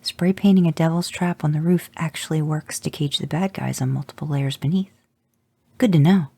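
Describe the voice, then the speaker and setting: A reader voicing an easygoing grown man in an audio story, musing in a wry, unhurried way. The tone is low and husky with a little gravel. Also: fairly even intonation, warm tone, dry recording.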